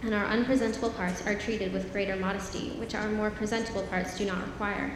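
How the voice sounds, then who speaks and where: A woman reads scripture in a steady, measured voice, distant from the mic. Her voice rings in a very reverberant church hall, with clear room noise behind her. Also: medium pitch. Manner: steady, measured reading aloud. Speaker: scripture reader. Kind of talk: formal scripture reading. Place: church sanctuary. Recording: very echoey church hall, heard from afar.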